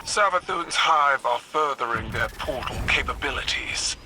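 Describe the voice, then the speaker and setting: A man playing a grim, measured warrior ally reporting from the field in a video game. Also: mid-range voice, lively intonation, background noise, natural voice. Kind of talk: scripted video game dialogue.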